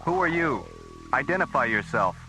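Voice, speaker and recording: An alert space-station crewman played by a male actor in a radio drama. His voice is mid-pitched and businesslike, giving crisp procedural calls with clear music behind. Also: very animated, moderate pace, natural voice, poor audio.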